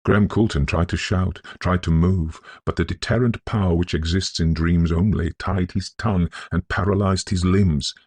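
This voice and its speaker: A man narrating a literary ghost story in a measured, solemn, scholarly manner, with a gravelly, husky voice. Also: deep voice, steady tone, darkish tone, no room sound. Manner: measured, deliberate, solemn delivery.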